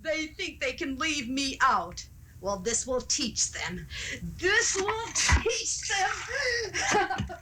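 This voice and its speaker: A woman's voice: sits high, big pitch swings, very slow, full character voice. A woman playing a resentful, nagging grown daughter in a 1970s community radio drama. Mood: vengeful. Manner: sharp, nagging. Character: bitter, resentful grown daughter, hard and vengeful. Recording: noisy 1970s live radio drama recording.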